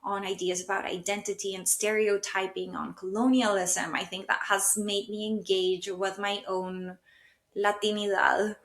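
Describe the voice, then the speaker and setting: A woman's voice: mid-range voice, flat delivery, normal speed, fairly bright tone. Thoughtful woman in an echoey room. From Colombia. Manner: thoughtful, self-searching, many fillers like "like" and "kind of". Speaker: podcast host and stylist. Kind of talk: reflective solo podcast monologue.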